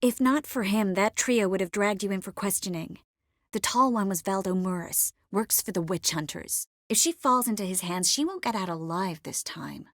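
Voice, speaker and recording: An actress voicing a young sorceress in a video game, gentle, earnest and determined, with a measured, expressive delivery. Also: female voice, mid-range voice, no echo, studio quality, close to an everyday voice. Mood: calm, matter-of-fact. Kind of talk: video game character voice lines.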